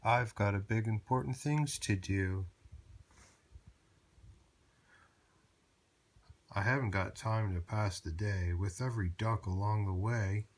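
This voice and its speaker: A man's voice: on the low side, normal speed, close to an everyday voice. A man voicing a big, self-important dump truck in a children's storybook, with emphatic, blustering declarations.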